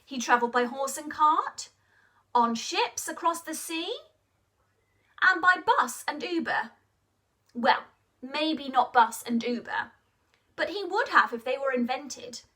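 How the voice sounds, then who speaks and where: A woman telling a story to children in an expressive, interactive way, asking questions and encouraging them to act along. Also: sits high, slow, pauses often, recorded from a distance.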